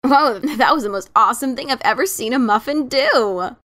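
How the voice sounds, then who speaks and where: A woman's voice: sits high, normal speed, a bit bright. A woman voicing a brash, teasing adventurer pony mare with a scratchy voice in a dramatic reading. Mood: amused, irreverent. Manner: cocky, teasing, informal. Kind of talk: dramatic reading of a fan story.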